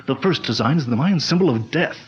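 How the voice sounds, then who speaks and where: A man playing a measured, commanding detective chief. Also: mid-range voice, expressive, natural voice. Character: calm, authoritative male detective chief. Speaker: lead detective. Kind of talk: 1940s radio drama acting. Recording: dull lo-fi old radio recording.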